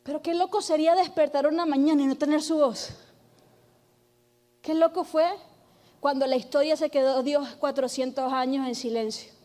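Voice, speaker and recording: High-voiced woman pastor preaching loudly. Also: steady tone, moderate pace. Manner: shouting, emphatic preaching with call-and-response. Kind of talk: sermon.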